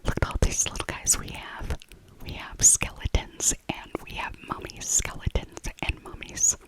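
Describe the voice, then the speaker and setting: A middle-aged woman whispering a casual, rambling ASMR shopping voiceover, softly repeating her phrases. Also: normal speed, poor audio. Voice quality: soft unvoiced whisper. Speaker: ASMR creator.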